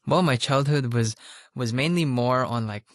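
A young man from Hong Kong answering interview questions in a relaxed, rambling way. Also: mid-range voice, moderate pace, dry recording, studio quality. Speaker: interview guest.

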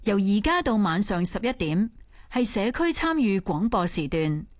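A woman's voice: medium pitch, big pitch swings, normal speed, no room sound, studio quality, soft background music. A woman reading a scripted station announcement.

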